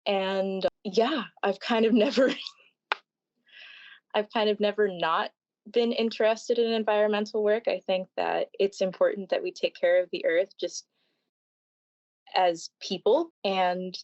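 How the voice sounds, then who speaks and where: A woman in her twenties, a podcast guest, answering in a thoughtful, casual way with fillers and soft laughs. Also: medium pitch, steady tone, measured pace, no room sound, lo-fi sound. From Florida, United States. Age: 20s.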